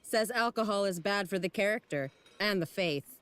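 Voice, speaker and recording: A sardonic village pub woman played by an actress in an adventure game. She talks at a medium pitch in a wry, matter-of-fact way, over faint music. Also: normal speed, fairly bright tone, natural voice. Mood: resigned.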